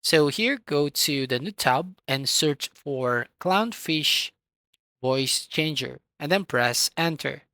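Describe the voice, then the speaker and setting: A tutorial host giving step-by-step software instructions in an instructional manner, with a voice recorded close to the microphone. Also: medium pitch, expressive, takes it slowly, dry recording.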